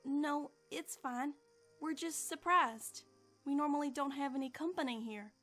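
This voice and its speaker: An earnest, friendly young cartoon filly, voiced by a woman. The voice is high and youthful, with music playing behind it. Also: measured pace, a bit bright. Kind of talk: fan-made cartoon dub.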